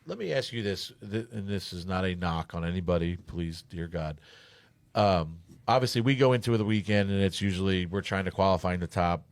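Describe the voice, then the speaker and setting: A man's voice: sits low, a little fast, no room sound. A man hosting a casual sports podcast, talking in a rambling, joking way. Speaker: podcast host and racing spotter.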